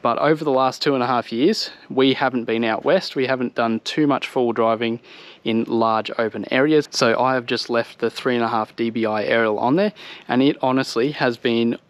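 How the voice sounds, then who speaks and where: A man's voice: mid-range voice, fairly even intonation, moderate pace, no room sound. A man in his thirties with an Australian accent, giving a casual, explanatory product review to his viewers outdoors. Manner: informal explanatory walk-through, addressing viewers. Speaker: vlogger reviewing vehicle gear. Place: outdoors on a home driveway by a vehicle.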